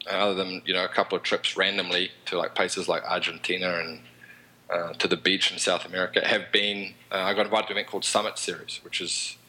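A man's voice: low-pitched, flat delivery, fast talker, sharp tone, poor audio. A man with a New Zealand accent, a podcast guest talking in a rambling, easygoing way. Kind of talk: casual interview chat.

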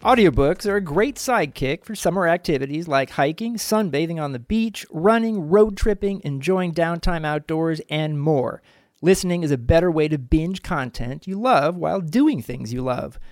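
Easygoing man with a higher voice. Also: animated, moderate pace, no room sound, studio quality. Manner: easygoing, teasing. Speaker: podcast host. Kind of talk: casual chat and ad read.

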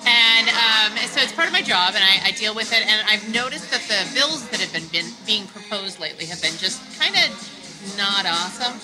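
A woman's voice: medium pitch, animated, normal speed, rough recording. A middle-aged woman, talking as a congressional candidate in a casual, wry, joking interview. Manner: dry, wry humor. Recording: music in background.

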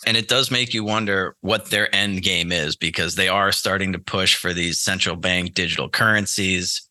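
A low-pitched man with a very bright, hard-edged voice, recorded dry and close. He is from the United States and speaks as a podcast guest in casual, informal talk, working out his thoughts as he goes. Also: fairly even intonation, normal speed, dry recording. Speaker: podcast guest, trader and former institutional investor.

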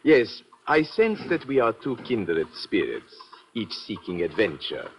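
Smooth-talking egyptian gent, very low voice that swoops about, flowery, old radio. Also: male voice, very animated, unhurried. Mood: suave, courtly. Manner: florid, courtly, theatrical. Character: flowery, suave Egyptian gentleman adventurer. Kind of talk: 1950s radio adventure acting. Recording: dull vintage 1950 radio recording.

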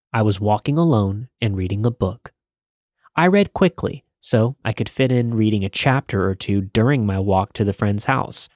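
A man with a fairly deep voice reads a scripted horror story as a podcast host. The sound is dry, with hardly any room reflection around his words. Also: a little mellow, no room sound.

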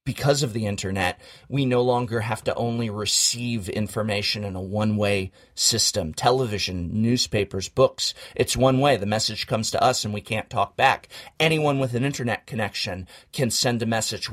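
A man's voice: lowish voice, moderate pace, few pauses. A man chatting casually on a podcast in an earnest, emphatic, speechmaking way.

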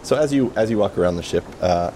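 Male game master, low register, loose improvised storytelling, noticeable rumble in the background. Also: fast. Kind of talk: improvised tabletop game narration. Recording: clear steady rumble behind voice.

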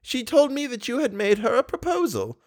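A man's voice: very high voice, huge pitch swings, moderate pace, voice pushed up, full character voice. A man reading aloud, voicing a kindly, bedridden old woman who speaks with simple, cheerful faith. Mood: fond.

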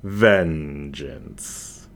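A man voicing an unseen avenger from the shadows, menacing and taunting in a slow, drawn-out manner. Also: very deep voice, voice pushed down, full character voice.

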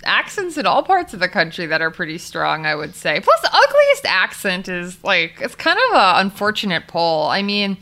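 A woman's voice: on the low side, sing-song, moderate pace, hardly any pauses, bright, forceful tone. A woman, co-hosting a casual sports podcast and thinking aloud in a chatty way. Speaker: podcast co-host.

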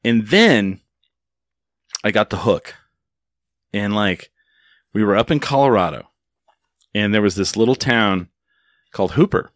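A man's voice: on the low side, expressive, measured pace, pauses often, no echo, studio quality. A man hosting a podcast, joking around in casual chat and slipping into silly voices. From Texas. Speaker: podcast host. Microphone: studio microphone.

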